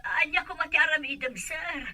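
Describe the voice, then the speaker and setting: Radio drama matron: outspoken nag, high and very piercing, sharp-edged. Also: female voice, moderate pace. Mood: scolding, nagging.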